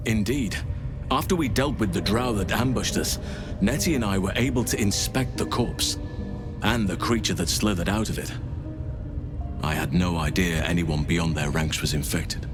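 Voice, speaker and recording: A man acting as a grave, learned druid in a fantasy video game. His voice sits low, and he explains things in a formal, deliberate way. Music plays clearly behind him. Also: natural voice. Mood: serious, reassuring. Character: gravely concerned male druid scholar, measured and learned.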